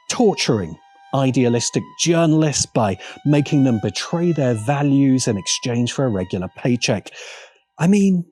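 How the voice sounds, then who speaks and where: A man narrating a scripted video essay as its host, with sardonic, emphatic and deliberate phrasing. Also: mid-range voice, a little mellow. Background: faint background music.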